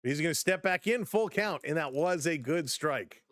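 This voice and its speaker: A man hosting a casual live-stream baseball play-by-play, chatty and upbeat as he greets viewers, his voice slightly hoarse from overuse. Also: on the high side, animated, normal speed, fairly bright tone, no room sound. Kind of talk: casual play-by-play with chat reactions.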